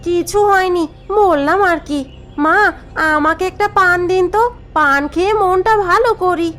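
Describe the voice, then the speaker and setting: A woman's voice: very high-pitched, moderate pace, fairly bright tone. A woman voicing a scheming elder daughter-in-law in a cartoon story, sounding confident and conniving. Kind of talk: cartoon voice acting.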